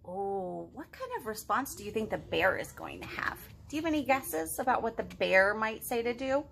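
Bright, animated woman reading to children. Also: fairly high pitch, normal speed, vocal fry, a little hiss or hum. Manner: expressive, addressing young children directly. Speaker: children's librarian, storytime host. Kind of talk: read-aloud storytime with chatty questions to children. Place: living room at home.

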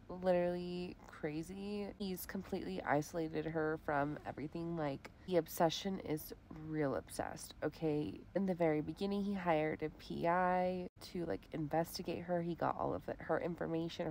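A young woman with a lowish voice chats casually through her reading week, rambling and stacking fillers as she goes. She sounds like she is at home. A faint noise sits under the recording. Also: moderate pace, darkish tone. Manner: chatty, rambling, lots of "like" fillers. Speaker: book vlogger. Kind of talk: casual reading vlog chat.

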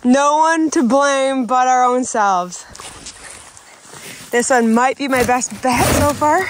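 Canadian woman vlogging outside, high pitched, chatty and playful, noisy recording. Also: slow pace. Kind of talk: casual chat to camera and to a tractor. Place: outdoors in snow, around a tractor and yard.

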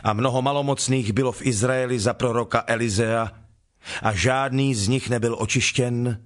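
An actor playing a calm, authoritative holy teacher in a dramatized Bible reading, speaking in a measured, solemn, declamatory way. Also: male voice, medium pitch, natural voice. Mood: grave, earnest. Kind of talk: dramatized Bible audio acting.

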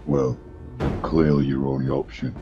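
A man's voice: very deep voice, level tone, a little slow, creaky, full character voice. A man playing a wounded captive guard, defiant and yielding by turns, in improvised video game roleplay. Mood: grudging. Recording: dull lo-fi game voice chat, music behind.

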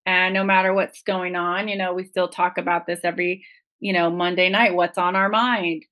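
A woman's voice: fairly low pitch, level tone, normal speed, a bit bright. Frank, earnest woman podcast guest. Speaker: guest, TV presenter. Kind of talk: casual interview chat.

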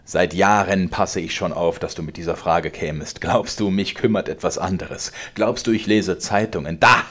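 Guy doing a bossy old dad yelling at his son, deep husky voice, storybook reading. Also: moderate pace, few pauses. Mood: accusing. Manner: loud, mocking, accusing. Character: domineering old father, ranting and triumphant. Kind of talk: audiobook character voice.

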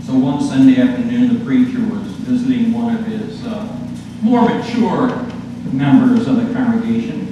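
Older preacher in an echoey church. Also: male voice, on the high side, big pitch swings, moderate pace, highs cut off. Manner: storytelling, voices the characters in his anecdotes. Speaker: guest pastor. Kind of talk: sermon with folksy stories. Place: church sanctuary, at a pulpit. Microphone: far camera at back of the church. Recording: echoey church from far off, hiss.

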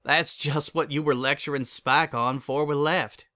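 A man's voice: on the high side, steady tone, unhurried, bright, forceful tone, voice pushed up. A plainspoken farm mare acted by a man from a storybook. She talks bluntly, with folksy, clipped words and a no-nonsense temper. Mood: dry, teasing. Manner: blunt, folksy drawl in wording. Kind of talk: storybook character dialogue.

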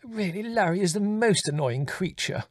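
A flustered middle-aged English mother, played by a male narrator, pitched well up. The delivery is fussy and exclaiming, easily exasperated, as in a storybook reading. The sound is dry and close. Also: lively intonation, moderate pace, dry recording. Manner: quavering, exclaiming, fussing.

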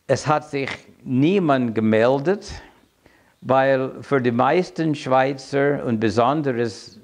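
An older man in his seventies with a clear American accent speaks in his second language about his own experience. His pace is slow, and he often pauses to search for words. The voice sits at a middle pitch, in a reflective register. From United States. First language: English. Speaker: interviewee telling his migration story. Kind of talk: reflective personal account to camera. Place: quiet room indoors.

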